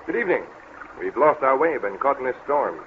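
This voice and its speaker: An actor playing a wry, decisive gentleman traveller in a 1930s radio drama, speaking formally. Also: medium pitch, sing-song, brisk, close to an everyday voice. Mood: urgent, polite. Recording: very noisy, tinny 1930s radio recording.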